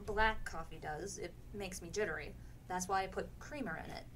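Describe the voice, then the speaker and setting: A woman's voice: lowish voice, moderate pace, fairly bright tone, slight background noise, natural voice. A woman playing an affectionate, motherly big sister who questions and fusses, in a radio play. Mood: concerned. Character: fussing, affectionate big sister, homely small-town woman. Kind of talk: live radio play acting.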